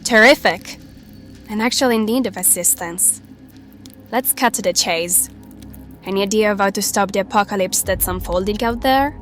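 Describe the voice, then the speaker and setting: A woman's voice: medium pitch, normal speed, a bit bright, faint music behind, lightly acted. A woman playing a plucky young survivor in an audio drama, with a wry, casual, direct way of talking. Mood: wry, curious. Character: wry, plucky young female survivor seeking to stop an apocalypse.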